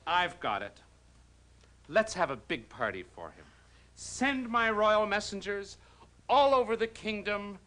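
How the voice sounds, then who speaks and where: A man playing a pompous fairy-tale king and worried father in a children's TV show, speaking in a grand, deliberate way. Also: high-pitched, big pitch swings, sharp tone, heavily acted. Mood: grand, decisive.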